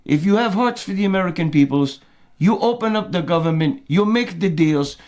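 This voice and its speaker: A man's voice: high-pitched, expressive, brisk, a little mellow. A man putting on Manny, a ranting Portuguese immigrant. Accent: put-on Portuguese. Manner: broken English, talks of himself in third person. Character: ranting middle-aged male immigrant from Portugal. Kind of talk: comedic character monologue.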